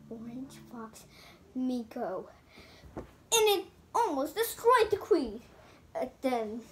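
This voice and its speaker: A child improvising a fairy tale with toys, telling it dramatically in halting sentences. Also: high-pitched, slight background noise. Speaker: storyteller. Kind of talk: improvised storytelling of a toy play fairy tale. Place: indoors in a room at home.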